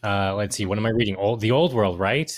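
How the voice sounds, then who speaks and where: Male podcast co-host, lower-pitched, halting and casual, very dry close recording. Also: no room sound. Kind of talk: casual lore discussion.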